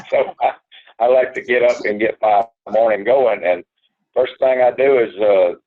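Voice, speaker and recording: A man joining a panel talk as a guest, relaxed and plain-spoken. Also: mid-range voice, measured pace. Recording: tinny phone line.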